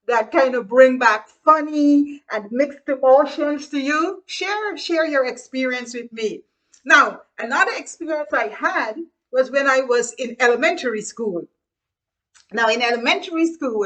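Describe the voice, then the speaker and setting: A woman's voice: sits high, a bit bright, low-quality recording. A middle-aged woman from Guyana with a Guyanese accent, chatting casually about her childhood and laughing now and then as she tells the stories. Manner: chatty, laughs while reminiscing, repeats phrases. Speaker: host. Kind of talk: casual storytelling monologue.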